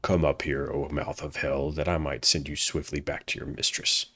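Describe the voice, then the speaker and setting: A man's voice: very deep voice, flat delivery, normal speed, a bit bright, breathy edge, heavily acted. A man playing a grim, defiant Norse warrior chief in an audio drama, terse and scornful in archaic speech. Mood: grim defiance.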